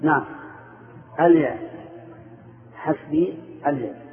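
A man's voice: a little high, slow pace. A man teaching Arabic grammar in class, quizzing students and confirming their answers with short replies. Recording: echoey room, phone-like narrow sound.

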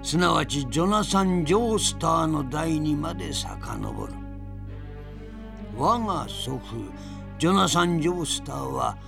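An actor playing a spirited old grandfather in an audio drama, narrating his memories with theatrical, deliberate pauses and a gravelly voice. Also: on the high side, exaggerated voice. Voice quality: gravelly, creaky. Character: spirited old grandfather reminiscing fondly about past adventures.

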